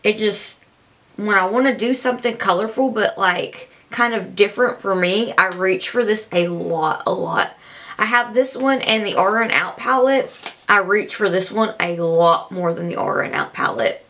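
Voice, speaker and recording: A woman's voice: mid-range voice, animated, moderate pace, fairly bright tone. A young woman vlogging casually to camera from a small room, her voice scratchy from allergies, chatty and rambling. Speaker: beauty vlogger.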